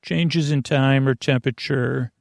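A male podcast host with a mid-pitched, heavily creaky voice talks in a rambling bedtime monologue. He is soothing and lulling, wandering off on tangents and cutting into his own sentences. The recording is dry and close to the mic. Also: steady tone, a little slow, dry recording. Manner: meandering, tangential, self-interrupting.

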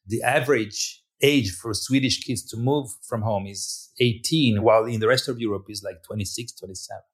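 A man originally from Italy, a film director, talking thoughtfully in an interview with frequent restarts. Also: medium pitch. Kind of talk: interview conversation.

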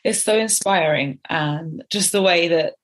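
Low, husky-voiced woman podcast host. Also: unhurried. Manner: warm, encouraging, affirming. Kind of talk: casual podcast interview.

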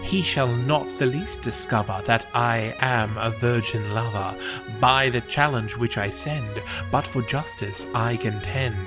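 A man reciting poetry in a measured, formal, old-fashioned dramatic style. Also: medium pitch. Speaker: poetry reader. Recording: music under the voice. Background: music.

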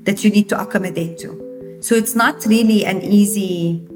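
A woman's voice: mid-range voice, normal speed, a little mellow, faint music behind. A woman from Jordan, the guest founder, explaining things in conversation with frequent use of "like". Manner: explanatory, uses filler "like" often. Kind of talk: interview conversation.

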